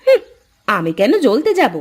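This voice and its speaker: A woman's voice: mid-range voice, huge pitch swings, moderate pace. A woman voicing a nagging, hardworking village mother in a moral-story cartoon, chiding and complaining as she talks.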